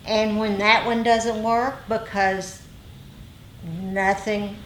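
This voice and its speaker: A woman leading an informal teaching discussion, affirming others in a halting way and repeating her phrases. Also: mid-range voice, flat delivery. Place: meditation group meeting room. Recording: echoey room, faint noise.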